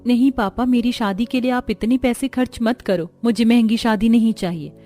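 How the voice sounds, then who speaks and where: A woman's voice: on the high side, normal speed, warm tone, close to an everyday voice. A woman voicing a humble, earnest young daughter from a poor family in a moral-story cartoon. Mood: pleading.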